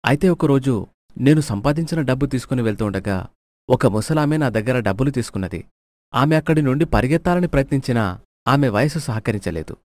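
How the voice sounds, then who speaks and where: A man acts out a remorseful thief in a moral story. His medium-pitched voice is earnest and apologetic as he explains himself. The sound is dry, without room echo. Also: a little mellow, natural voice.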